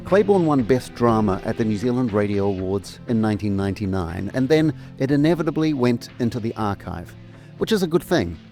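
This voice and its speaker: A man's voice: medium pitch, animated, brisk, mellow. A middle-aged or older man presenting a scripted trailer as its narrator. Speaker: presenter and remastering producer. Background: faint music.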